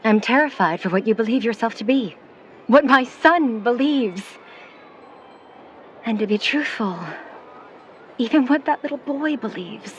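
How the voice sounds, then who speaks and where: A woman playing a skeptical, worried middle-aged mother in a 1950s period audio drama, speaking in a proper, measured way. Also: fairly high pitch. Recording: dull, noisy recording with ambience.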